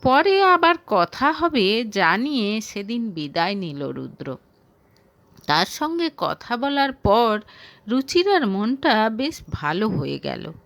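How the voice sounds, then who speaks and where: A woman's voice: a little high, very animated, takes it slowly, creaky, fairly bright tone, no echo. A woman reading a romantic story aloud as scripted narration.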